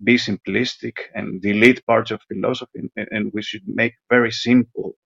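Gravelly, husky man lecturing haltingly on muffled voicemail. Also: fairly low pitch, steady tone, a bit bright, dry recording. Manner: halting, repetitive, pedantic. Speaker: caller leaving a voicemail. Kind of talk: philosophical lecture-like monologue.

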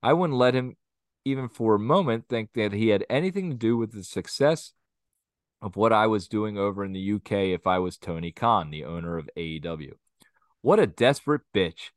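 A man with a fairly low voice talks in loose, rambling podcast chat, blunt and opinionated, with crude jabs now and then. His voice sounds close and dry, with no room around it. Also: lively intonation, moderate pace, no room sound. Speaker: podcast co-host. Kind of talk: casual wrestling podcast chat.

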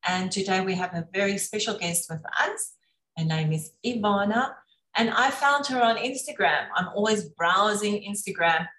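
A woman's voice: fairly low pitch, fairly bright tone, reverberant, poor audio. A woman hosting an online interview, introducing and questioning her guest.

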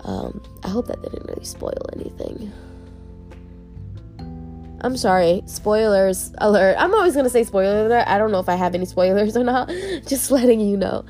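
A woman's voice: medium pitch, animated, normal speed, dark tone, faint music behind. A woman hosting her own podcast in casual, bubbly chat, sounding congested and nasal from a cold. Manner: bubbly and chatty, laughs often. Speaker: podcast host.

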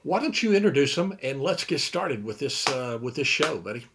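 A man's voice: medium pitch, big pitch swings, normal speed, noisy background. A man hosting a tech podcast, friendly and loose in his talk. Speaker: podcast host. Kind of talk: casual podcast chat.

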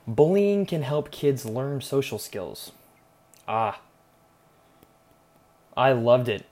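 A man's voice: fairly low pitch, a little mellow. A young man hosting a solo podcast, rambling casually and irreverently with lots of slang, sarcasm and swearing. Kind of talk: casual unscripted rambling monologue.

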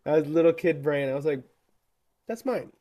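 A young man co-hosting a casual podcast, joking in a dry, deadpan way and drawing out his words. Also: a little high, normal speed, over a noisy crowd. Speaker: podcast co-host. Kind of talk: casual chat.

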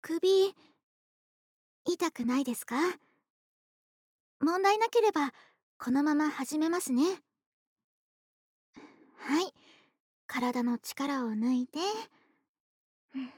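A voice actress playing a polite, doting young woman next door in an intimate, soft-spoken ASMR roleplay. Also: high-pitched, slow pace, long pauses, dry recording, studio quality. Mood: soothing, doting. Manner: soft-spoken, courteous, polite speech, affectionate. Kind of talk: Japanese ASMR situation voice drama, intimate roleplay. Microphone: close studio microphone, dry.

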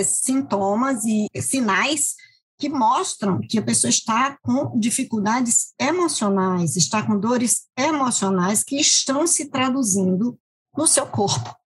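Brazilian woman, really husky breathy voice, kinda high, talks it through thoughtfully on a podcast. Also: rough recording. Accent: Brazilian Portuguese. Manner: reflective, explanatory. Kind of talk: conversational podcast talk.

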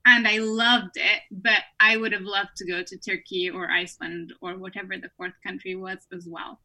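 A woman in her twenties from Peru talks as a guest on an online podcast. Her tone is bright and forward. She tells her story in a friendly way and laughs often. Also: medium pitch, level tone, moderate pace, poor audio. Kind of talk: casual interview storytelling. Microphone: remote online recording.

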